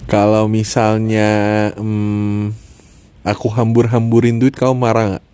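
A man's voice: on the low side, completely flat delivery, unhurried, warm tone, clear, resonant tone, no room sound. A man with a thick Javanese-sounding accent co-hosting a casual couple podcast, cracking puns and laughing at his own jokes. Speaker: podcast co-host, husband.

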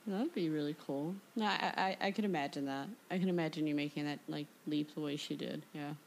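A woman's voice: deep voice, brisk, creaky, slight background noise, poor audio. A woman hosting an interview in a casual, conversational style. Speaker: interview host.

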